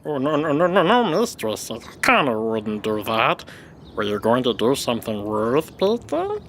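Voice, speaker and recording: A woman's voice: extremely low pitch, huge pitch swings, a little slow, a little hiss or hum, full character voice. A woman voicing a small, impish, strong male servant creature in an audio drama, sly and servile, with simple third-person phrasing. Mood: sly, fawning.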